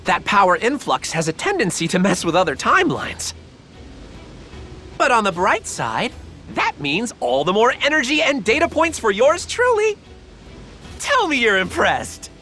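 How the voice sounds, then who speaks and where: Cocky schemer scientist from a game, sarcastic, super bouncy pitch, music behind. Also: male voice, huge pitch swings, moderate pace, sharp tone, full character voice. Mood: flippant. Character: smug, excitable scheming villain scientist. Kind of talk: animated game cutscene acting.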